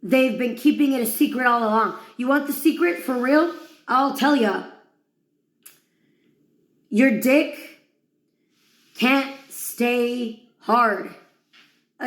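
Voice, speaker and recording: Slow, high-voiced taunting woman in echoey room. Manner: taunting, crude, theatrical. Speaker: performer of villain monologues. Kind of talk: dramatic mocking monologue to one listener.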